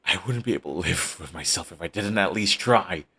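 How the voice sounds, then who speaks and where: A man's voice: low-pitched, expressive, a little fast, husky, exaggerated voice. A man voicing a brooding villain who is defensive and lovestruck, talking close and intimately to the listener. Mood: heated, defensive. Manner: intimate, shifts between hushed and heated. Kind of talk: audio roleplay monologue.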